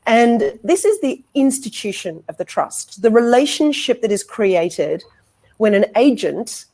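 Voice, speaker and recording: Middle-aged Australian woman, conference speaker, articulate and measured with frequent ums, earbud mic on a video call. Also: medium pitch, darkish tone. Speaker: law lecturer presenting. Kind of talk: conference presentation. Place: indoor home office with bookshelves. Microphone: wired earbuds on a video call.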